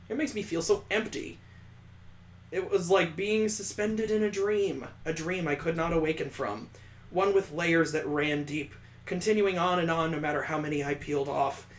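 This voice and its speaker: A man voicing a detached, world-weary young heroine narrating a visual novel in a measured, dramatic reading. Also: on the high side, a little hiss or hum, natural voice. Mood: melancholy. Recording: echoey, roomy sound.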